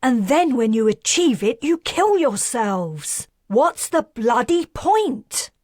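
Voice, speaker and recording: A raging young bird keeper stranded far from home, as a woman reader puts her on. Her voice climbs high and hard as she shouts. It sits close and dry, with no room around it. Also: unhurried, no room sound. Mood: exasperated.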